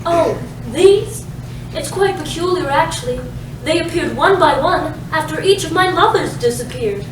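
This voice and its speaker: A very echoey, noisy distant recording of a woman acting a noir damsel for the stage. She is high-pitched, dramatic and ditzy, with a sweetly apologetic manner. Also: lively intonation, a little slow. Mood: innocent. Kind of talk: comedic staged reading, film noir parody. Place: live staged reading in a roomy space.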